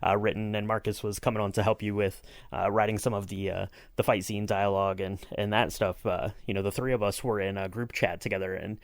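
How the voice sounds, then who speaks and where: A man with a fairly low voice speaks in a very dry, echo-free recording. He chats as a podcast co-host, easygoing and a little rambling. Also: fairly even intonation, a little fast, vocal fry, hardly any pauses. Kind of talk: casual conversational chat.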